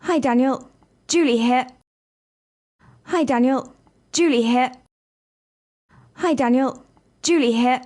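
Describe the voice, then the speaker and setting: A woman reading scripted dialogue parts for an English listening lesson, speaking clearly and carefully. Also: high voice, takes it slowly, pauses often, darkish tone. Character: woman calling in sick, then a polite hotel receptionist. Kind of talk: scripted role-play dialogue.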